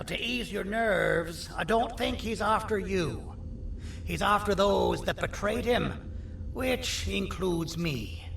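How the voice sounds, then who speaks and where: An actor playing a vain, sardonic four-armed creature surgeon in a video game, with a gravelly, theatrical, bossy delivery. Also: male voice, high voice, fairly bright tone, exaggerated voice. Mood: wistful, grave. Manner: wry, theatrical, self-important. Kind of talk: video game character dialogue. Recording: roomy echo, distant, faint noise.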